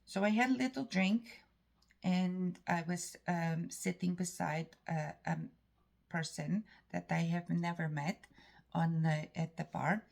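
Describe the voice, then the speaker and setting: Slow, gravelly woman with Eastern European-sounding accent. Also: lowish voice, fairly even intonation, a little mellow. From Canada. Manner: rambling, halting, frequent restarts. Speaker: vlogger. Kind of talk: casual storytelling monologue.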